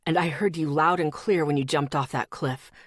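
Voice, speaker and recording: A woman voicing a hurt, withdrawn young man with a deep voice who speaks in curt, clipped lines in an audiobook. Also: fairly even intonation, moderate pace, dry recording, studio quality. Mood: cold, curt.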